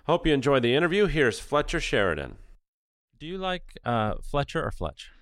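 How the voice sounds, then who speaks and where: A man's voice: medium pitch, big pitch swings, normal speed, fairly bright tone, dry recording, clean studio sound. Stuffed-up man hosting a podcast. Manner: joking, self-deprecating, chatty. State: stuffed up from a cold. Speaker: podcast host. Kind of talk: casual interview chat. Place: Los Angeles studio.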